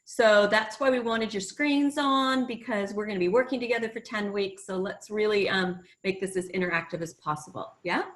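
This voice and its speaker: A woman's voice: mid-range voice, normal speed, few pauses. A middle-aged woman from California with an American accent, giving a chatty, upbeat marketing workshop over a video call. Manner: chatty and upbeat, laughs often. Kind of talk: casual webinar presenting.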